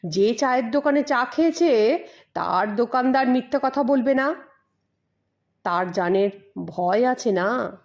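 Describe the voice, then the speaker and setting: A woman's voice: a little high, lively intonation, unhurried, long pauses. A woman voicing a menacing, bullying male hired thug in an audio story. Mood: threatening. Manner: bullying, demanding.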